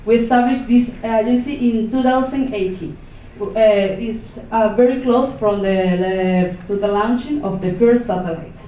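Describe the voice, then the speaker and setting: A woman from Venezuela, a non-native English speaker with a Venezuelan accent, giving an unscripted conference talk in a halting way, with many restarts and fillers. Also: fairly high pitch, a little hiss or hum. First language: not English. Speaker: conference presenter. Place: conference hall. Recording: echoey, distant, phone-like hall recording.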